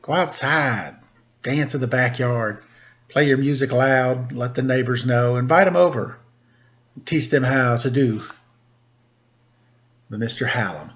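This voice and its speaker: A man reading a children's storybook and chatting in a playful, expressive, encouraging way. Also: mid-range voice, unhurried, pauses often, lo-fi sound.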